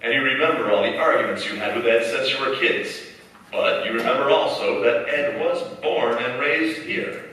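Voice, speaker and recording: A man's voice: mid-range voice, normal speed, bright, forceful tone, lightly acted. A man playing a probing second-person narrator, like an inner conscience, in a staged 1940s-style radio drama. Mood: probing, dramatic. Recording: echoey hall, far mic, faint noise.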